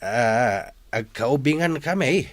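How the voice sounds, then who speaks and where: A man plays an older father in a radio drama. He talks slowly and haltingly, with a voice that swings widely in pitch. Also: lively intonation. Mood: startled, hesitant. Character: older father, slow, startled, tender toward his son. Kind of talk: Ilocano radio drama acting.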